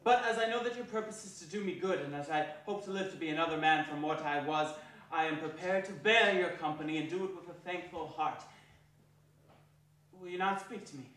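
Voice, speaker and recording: Man as old miser, high voice, fearful, pleading, emphatic theatrical delivery, very echoey. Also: brisk, a bit bright, close to an everyday voice. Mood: fearful, earnest. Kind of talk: theatrical dramatic reading. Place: theatre hall stage reading. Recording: echoey hall, distant microphone.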